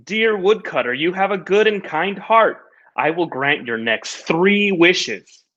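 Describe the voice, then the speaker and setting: A man voicing a kind, gentle fairy of the forest in a storybook read-aloud, speaking in formal, pleading phrases. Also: high-pitched, lively intonation, slow, heavily acted. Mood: kindly. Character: kind fairy in an old oak tree, granting wishes. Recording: dull lo-fi recording.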